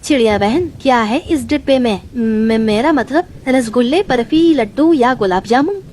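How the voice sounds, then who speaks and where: A woman plays a sly, lazy male crow from a cartoon tale. The voice is fairly high and full of greedy, gloating boasts, with faint noise behind it. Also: lively intonation, moderate pace, darkish tone, lightly acted. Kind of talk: Hindi cartoon story voice acting.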